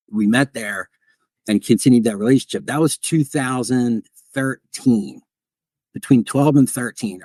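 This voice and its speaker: A man with a Philadelphia accent from South Jersey, a mortgage lender guest telling his story in a relaxed, conversational way. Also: medium pitch, dry recording. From South Jersey, near Philadelphia. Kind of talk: casual interview conversation.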